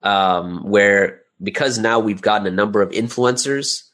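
Low-voiced man explaining casually, hesitantly. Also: a little slow, a bit bright. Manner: informal, hesitant, often repeating words mid-thought. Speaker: interview guest, audio drama creator and business owner. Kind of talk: casual explanatory interview talk.

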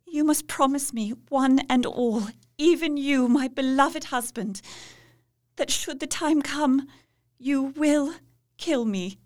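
A woman playing Mina, a devoted young wife, speaking with slow, solemn, pleading resolve in a radio play. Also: sits high. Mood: earnest.

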